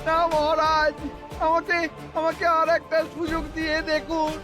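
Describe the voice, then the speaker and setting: An actor voicing a greedy male minister in a cartoon, begging in a plaintive, drawn-out whine. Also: very high voice, completely flat delivery. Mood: desperate begging. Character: whining, greedy male minister turned to stone, pleading. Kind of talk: cartoon voice acting. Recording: loud music behind the voice.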